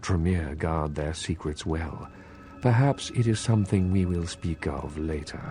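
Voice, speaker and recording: An actor playing a courteous, scholarly vampire regent in a video game, speaking formally with measured, old-fashioned politeness. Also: male voice, low voice, mellow, a little hiss or hum. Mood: patient, instructive.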